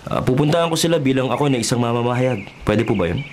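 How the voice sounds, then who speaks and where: A man's voice: mid-range voice, animated, quick pace, a little mellow, slight background noise, lightly acted. A man playing a polite, earnest journalist in a Filipino radio drama, courteous and inquisitive. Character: polite, earnest male journalist making a documentary.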